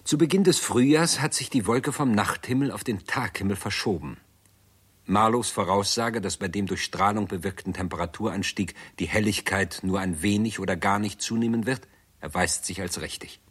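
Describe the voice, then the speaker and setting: An actor playing a grave narrator, reading a scripted science fiction chronicle in a formal, measured way for a 1960s radio play. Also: male voice, fairly low pitch, husky. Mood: grave, factual.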